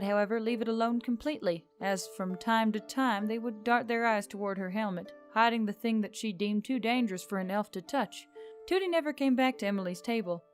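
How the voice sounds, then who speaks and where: A woman reading scripted fiction as a story narrator, in an expressive storytelling style. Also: mid-range voice. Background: background music.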